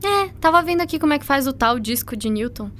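A chatty, curious Brazilian schoolgirl in her teens, with a notably high voice. She talks in casual slang and bosses her friend around a little, as in a radio play. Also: animated, quick pace, a bit bright, a little hiss or hum. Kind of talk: scripted educational radio drama.

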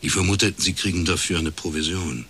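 An actor playing a shrewd, dry-witted private detective in a radio crime drama, laconic and deadpan. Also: male voice, sits low, a little fast, slight background noise. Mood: dry, knowing. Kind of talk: naturalistic German radio crime drama acting.

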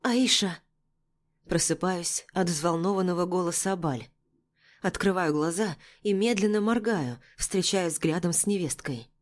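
A woman reads scripted fiction in the first person as an audiobook narrator. Her voice sits a little low for a woman. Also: normal speed, darkish tone.

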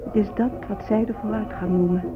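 A woman's voice: mid-range voice, steady tone, fairly quick, lightly acted. Actress as a grave Native woman, muffled radio. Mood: grave accusation. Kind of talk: solemn radio drama monologue. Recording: muffled phone-like old radio recording. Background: music.

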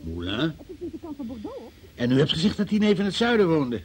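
Stern, animated interrogating magistrate. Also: male voice, fairly high pitch, moderate pace, slight background noise. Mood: exasperated, sceptical. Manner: probing, sceptical, officious, exasperated. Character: stern, impatient male investigating magistrate questioning a suspect. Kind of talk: Dutch radio play acting.

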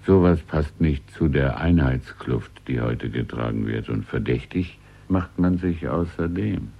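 Old antique dealer, really low husky voice, polite n wistful, dull old radio play sound. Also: male voice, animated, measured pace, heavily acted. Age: older. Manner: gentle, wistful, hesitant, confiding. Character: courteous, melancholy old antique shop keeper. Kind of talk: German radio play acting.

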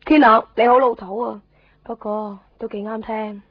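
An actress playing a childlike, fretful young student in a radio drama, with a plaintive, whiny way of talking. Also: female voice, a little high, fairly even intonation, normal speed, no room sound. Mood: sulky, calming. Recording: dry, muffled 1990s radio drama.

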